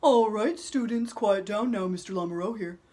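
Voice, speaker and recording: A woman's voice: medium pitch, normal speed, dark tone, lightly acted. A woman voicing a weary male drama teacher calling a class to order. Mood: addressing class.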